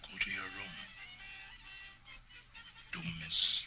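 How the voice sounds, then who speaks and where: An actor playing an arrogant, quick-tempered male ventriloquist in an early talkie film, boastful and scolding. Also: bright, forceful tone, faint music behind, full character voice.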